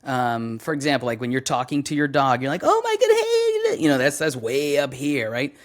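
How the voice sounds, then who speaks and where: A young adult man, a voice actor, chatting casually in an interview, relaxed and full of fillers as he tells stories. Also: medium pitch, moderate pace. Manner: relaxed, storytelling, many fillers. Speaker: voice actor guest.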